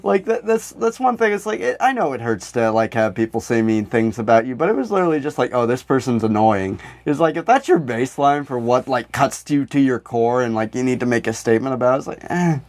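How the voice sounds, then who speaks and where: A man's voice: mid-range voice, lively intonation, fairly quick, few pauses, darkish tone. A man co-hosting a comedy podcast in casual chat, joking and telling stories in a sarcastic, sweary way. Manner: sarcastic, profane, anecdotal joking. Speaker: podcast co-host.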